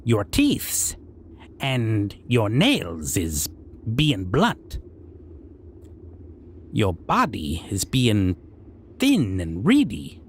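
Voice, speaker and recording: Slow-talking, sly goblin king. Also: fairly low pitch, wildly swooping pitch, a little hiss or hum, exaggerated voice. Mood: amused, condescending. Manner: slow, broken-grammar speech, musing with hums. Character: civilized goblin king, male, sly, curious, menacing but amused. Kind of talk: character audio roleplay addressed to listener.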